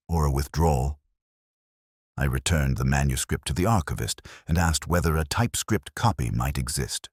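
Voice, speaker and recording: A man playing a middle-aged detective who narrates a mystery in a measured, wry, reflective and literary manner. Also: a bass voice, no room sound, studio quality. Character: world-weary, wry, literary English male detective narrating his case. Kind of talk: scripted first-person mystery narration.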